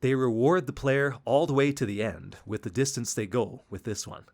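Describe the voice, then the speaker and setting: A man's voice: medium pitch, moderate pace. Man narrating game commentary over music. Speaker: video essay host. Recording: music under the voice. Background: background music.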